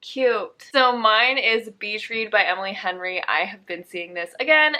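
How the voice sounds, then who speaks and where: A woman's voice: mid-range voice, a little slow, pushed and bright. A young woman hosting a casual book vlog with her sister, chatting in a bubbly, enthusiastic way. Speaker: book vlogger and host.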